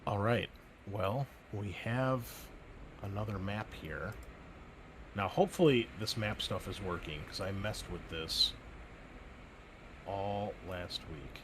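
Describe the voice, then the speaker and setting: Low-voiced man running a tabletop game. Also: takes it slowly, pauses often, noisy background. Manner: loose, rambling with many fillers. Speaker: game master.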